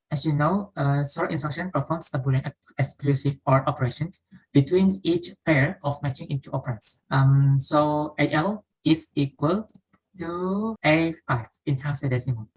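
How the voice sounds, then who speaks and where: A woman with an Indonesian accent and a low, husky voice explains a coding exercise one step at a time. She talks like a student presenting lab work over a screen share. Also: normal speed, poor audio. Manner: steady explanatory, working through steps aloud. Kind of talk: screen-share walkthrough of code.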